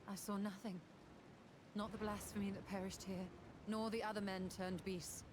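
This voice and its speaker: An actress playing a grave, knowing sorceress in a video game, speaking in measured, archaic, formal phrases. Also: female voice, medium pitch, level tone, noisy background, natural voice. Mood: grave, somber. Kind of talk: dramatic video game voice acting. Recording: music behind the voice.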